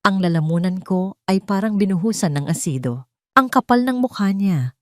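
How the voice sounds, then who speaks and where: A woman's voice: mid-range voice, expressive, moderate pace, no echo, clean studio sound. A woman storyteller reading dramatic, scripted first-person narration.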